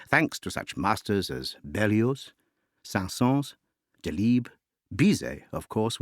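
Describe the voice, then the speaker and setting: A man narrates educational material in a fairly low voice that moves widely and expressively in pitch. His delivery is scripted, articulate and expository, shaped with rhetorical phrasing. The sound is dry, with very little room around the voice. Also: no echo. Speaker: narrator of a music introduction.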